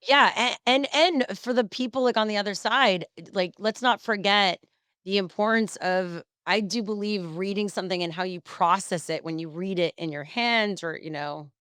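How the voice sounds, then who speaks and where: A woman's voice: mid-range voice, moderate pace, fairly bright tone, clear, resonant tone, no echo, studio quality. A woman hosting a casual interview, chatty and tripping over the starts of her sentences. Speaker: interview host.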